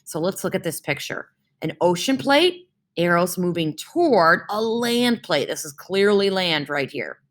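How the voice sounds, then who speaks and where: A woman's voice: on the low side, sing-song, measured pace, few pauses. A young woman teacher reading a science lesson aloud for her class, explaining with homely comparisons and often checking in with "right?".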